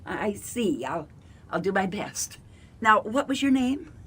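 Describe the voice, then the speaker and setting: An actress playing a brisk, efficient, easily exasperated detective's secretary in a radio drama, with a dryly opinionated manner. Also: female voice, mid-range voice, very animated, breathy edge, heavily acted. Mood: polite, flustered.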